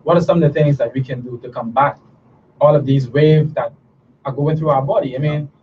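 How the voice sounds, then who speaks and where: A man from the Bahamas with a Bahamian accent, a guest on a talk show pitching his herbal products earnestly, often restarting his sentences. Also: steady tone, a little fast. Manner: earnest, persuasive, with frequent restarts and fillers. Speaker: guest, natural herbal products business owner. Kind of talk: promotional talk show interview. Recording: distant mic, dull sound, background noise.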